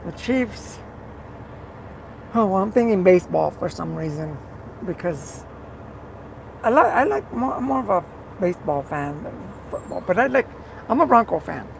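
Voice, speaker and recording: Rough, gravelly live streamer. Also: medium pitch, expressive, moderate pace, pauses often, a little hiss or hum, poor audio. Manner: rambling, repeats words, talks to viewers by name. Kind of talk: casual live-stream chat reading comments.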